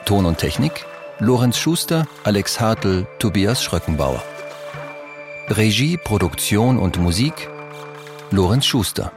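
A man's voice: fairly low pitch, sing-song, unhurried. A man reading scripted credits as an announcer. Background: music.

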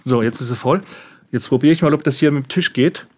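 A man's voice: mid-range voice, quick pace, darkish tone, a little hiss or hum. A man working as a sound effects artist explains his craft in conversation, searching for his words in a studio. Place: radio drama studio.

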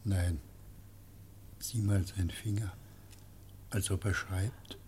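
An actor playing a grave, sober man at a deathbed in a radio drama, speaking in a measured way. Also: low voice, fairly even intonation, darkish tone, a little hiss or hum. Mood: concerned.

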